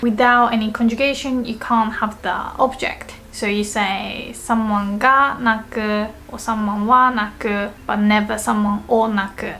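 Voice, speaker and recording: A woman's voice: a little high, fairly even intonation, normal speed, few pauses, pushed and bright, a little hiss or hum. A woman with a Japanese accent, a native Japanese speaker, giving a casual language lesson and patiently repeating example sentences.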